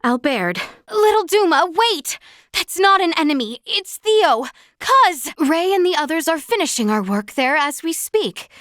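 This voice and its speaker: A woman's voice: extremely high pitch, very animated, unhurried, a bit bright, dry recording, heavily acted. A woman playing a young princess in a video game, speaking in a formal, courtly and earnest way, recorded dry in a studio. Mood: desperate, urgent, then anxious. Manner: formal, courtly, archaic phrasing. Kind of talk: scripted video game voice acting.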